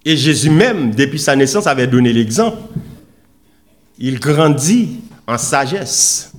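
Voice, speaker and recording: A man preaching a sermon in an oratorical, emphatic manner, with deliberate pauses and repeated phrases. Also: mid-range voice, lively intonation. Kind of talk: sermon with scripture readings and rhetorical questions.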